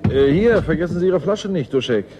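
An actor playing a world-weary, guarded scientist in a 1980s German radio drama, gruff and dryly ironic. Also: male voice, a little high, animated, fairly quick, muffled recording, background noise, close to an everyday voice.

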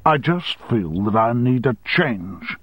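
A man playing a blustering, domineering, short-tempered male boss who shouts threats, on a dry, slightly dull recording. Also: medium pitch, expressive, moderate pace, no echo, voice pushed up. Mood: angry.

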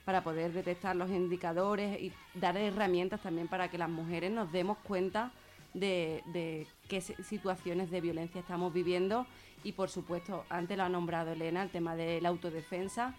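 A woman's voice: fairly low pitch, fairly even intonation, moderate pace, darkish tone, faint music behind. A woman with a Spanish accent from Spain speaking informally as a radio guest, explaining things reflectively and repeating words for emphasis. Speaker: guest speaker on feminist therapy and gender violence.

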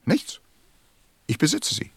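An actor playing a busy, self-important middle-aged businessman in a radio play, with a gravelly, husky voice and a testy, muttering manner. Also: slow, fairly bright tone, no room sound. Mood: smug. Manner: pompous, testy, muttering, swooping. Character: busy, self-important 54-year-old businessman counting stars.

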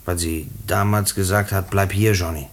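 An actor playing a condemned prisoner in a German radio play, earnest and stubborn, speaking haltingly and pleading, often repeating his words. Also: low voice, fairly even intonation, lightly acted. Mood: explaining, earnest.

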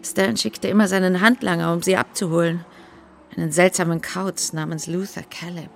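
An actress in a radio drama playing a reticent middle-aged witness, speaking in a hushed, deliberate way as she remembers. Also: fairly low pitch, steady tone, gravelly, slight background noise. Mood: grave, confiding. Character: reticent woman witness remembering her dead friend's secrets.

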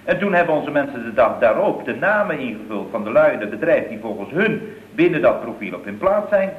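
A man's voice: mid-range voice, big pitch swings, brisk, narrow, tinny audio, lightly acted. Man playing an earnest underling, thin roomy radio sound. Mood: earnest, explaining. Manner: deferential, puzzled, earnest. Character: earnest, deferential male employee of the boss. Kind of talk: late 1960s Dutch radio comedy acting.

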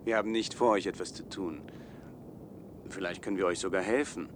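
A man's voice: low voice, fairly even intonation, brisk, a little hiss or hum, natural voice. An actor playing an awkward, earnest young male biochemistry student in a science-fiction radio drama, with hesitant, apologetic delivery. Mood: gentle, cautious.

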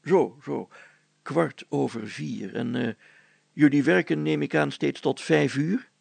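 A man's voice: medium pitch, takes it slowly, gravelly, slightly rough, no room sound, heavily acted. A man voicing a bossy, sly village mayor who talks pompously with hems and repeats while feigning kindness in a storybook. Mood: falsely friendly.

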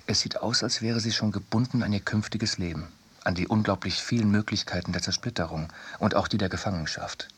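Low, husky male literary narrator. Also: fairly bright tone. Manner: measured, deliberate phrasing. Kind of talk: scripted literary reading.

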